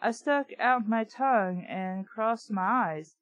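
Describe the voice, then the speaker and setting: A woman's voice: fairly high pitch, takes it slowly, dry recording, lo-fi sound. A woman reading a book aloud and reacting in casual, blunt asides, often incredulous and sweary. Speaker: host reading and reviewing a children's book. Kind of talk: read-aloud with casual running commentary.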